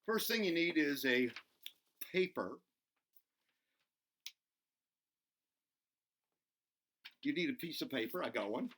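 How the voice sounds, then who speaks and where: A man voicing an excitable, goofy buzzard who hosts a children's show, playful and giggly as he talks to himself and to the kids. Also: fairly high pitch, normal speed. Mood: chirpy, eager. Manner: giggly, self-interrupting, talks to himself in third person. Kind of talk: playful craft demonstration for children.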